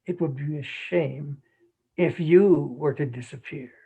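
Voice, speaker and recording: Guarded, ominous man of the manor played by an actor, husky and slow, comedic mystery play, dull recording. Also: on the high side, dark tone, exaggerated voice. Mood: cold, menacing. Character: guarded, quietly menacing man of a wealthy household.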